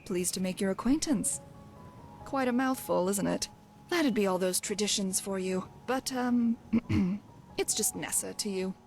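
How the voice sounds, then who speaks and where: A woman's voice: mid-range voice, measured pace, darkish tone, lightly acted. Actress as female rogue companion for fantasy game: brash, wry, cocky, theatrical delivery, music underneath. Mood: cocky, friendly. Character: brash, wry female thief, undying adventurer. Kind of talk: voiced game dialogue.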